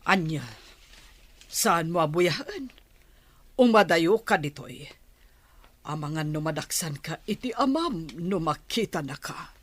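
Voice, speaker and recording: A woman playing a fearful, protective mother in a radio drama, husky and urgently scolding. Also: low voice, expressive, measured pace, long pauses, no echo, heavily acted. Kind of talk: Filipino radio drama acting.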